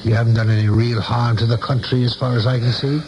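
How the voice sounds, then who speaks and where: An actor in a 1970s radio play, playing an older saloon keeper who is folksy, shrewd and philosophical with a loyal friend. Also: male voice, mid-range voice, little pitch movement, quick pace, background noise, lightly acted. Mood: folksy, probing. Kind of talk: 1970s radio drama acting. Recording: dull vintage radio broadcast, music behind.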